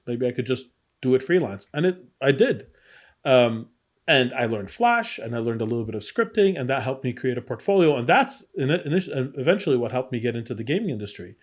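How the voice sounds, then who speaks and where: A middle-aged man is giving a personal interview in a conversational way. His voice sits at an ordinary male pitch, and he speaks candidly, retelling experiences and voicing the people in them. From born in Iraq. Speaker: interview guest, game designer.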